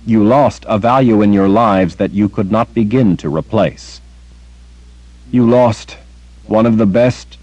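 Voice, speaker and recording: A man's voice: fairly low pitch, unhurried, a little mellow, dry recording, poor audio. A man voicing a young man, an earnest, grief-stricken hero, speaking in a pleading, intense way.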